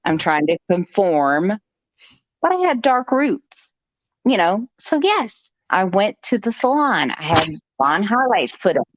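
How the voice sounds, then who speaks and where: A woman's voice: medium pitch, sing-song, unhurried. A woman hosting a live stream, telling a personal story in a chatty, joking way, with frequent "okay" asides. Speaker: host. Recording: dull lo-fi stream audio.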